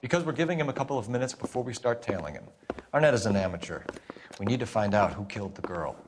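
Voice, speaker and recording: An actor playing a stern police detective in a game, questioning in a clipped, formal, insistent way. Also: male voice, on the low side, expressive, quick pace, noisy background, natural voice. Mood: businesslike. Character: male police detective, stern, probing, tightly controlled. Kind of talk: dramatic interrogation dialogue.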